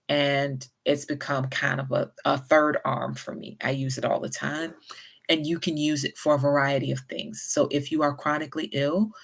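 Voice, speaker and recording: A woman with a light Southern US accent, talking at an unhurried pace and giving friendly, encouraging advice to her viewers. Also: very deep voice. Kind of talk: casual advice vlog.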